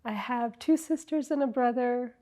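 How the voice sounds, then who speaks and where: A middle-aged woman from Ethiopia, a wellness coach, telling her story as an interview guest in a reflective way, with many ums and pauses. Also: a little high, dark tone.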